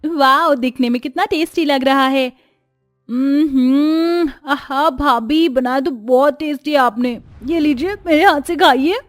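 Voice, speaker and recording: A woman's voice: sits high, slow pace, faint music behind. A woman voicing an impatient, affectionate college-age girl in a moral-story cartoon, with a whiny, demanding manner. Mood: delighted. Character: young college-going sister-in-law, impatient and affectionate.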